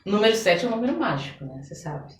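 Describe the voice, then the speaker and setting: Casting: middle-aged Brazilian woman, expressive interview guest, mid-pitched, recorded in an echoey room. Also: racing. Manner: expressive storytelling, often seeking agreement with tags. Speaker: interview guest, therapist and theater director. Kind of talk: conversational interview answers. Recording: echoey room, distant microphone.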